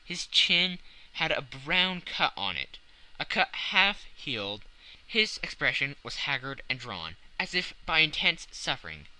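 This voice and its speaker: A man's voice: on the high side, sing-song, unhurried, bright, forceful tone, low-quality recording. A man narrating classic fiction as a dramatic scripted audiobook.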